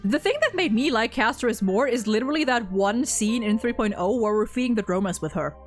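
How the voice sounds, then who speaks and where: A woman with a fairly high voice hosts a casual game discussion. She rambles, doubles back on her words and swears offhandedly. Music plays behind her. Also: animated, a little fast. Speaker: host.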